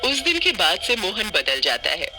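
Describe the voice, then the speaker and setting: A man's voice: high voice, wildly swooping pitch, normal speed, sharp tone, faint music behind. A man narrating a cartoon story from a script.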